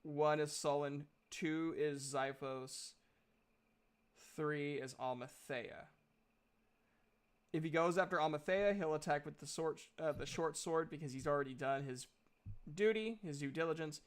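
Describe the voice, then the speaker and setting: A man acting as game master in a casual tabletop roleplaying session, thinking aloud in a halting way as he works out dice and rules. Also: fairly high pitch, clean tone.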